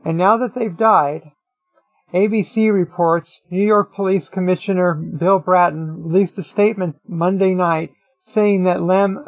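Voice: medium pitch, no echo. A narrator reading a written opinion article aloud in a scripted style. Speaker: reader of an online article.

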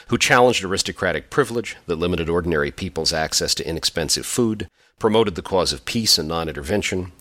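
A man reading scripted audiobook narration in a formal, measured way. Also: low-pitched, bright, forceful tone, no room sound.

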